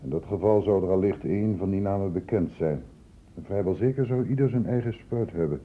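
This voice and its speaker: Actor as grave doctor on hissy old radio. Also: male voice, sits low, lightly acted. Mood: firm, probing. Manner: deliberate, formal, insistent. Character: grave, authoritative male doctor leading an outbreak investigation. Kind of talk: radio drama acting. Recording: narrow, noisy old radio recording.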